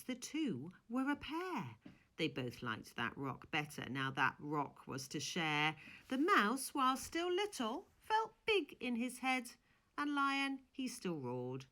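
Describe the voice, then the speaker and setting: Slow, animated woman reading a children's story. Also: low-pitched. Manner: dramatic, drawn-out emphasis. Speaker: primary school teacher reading a story.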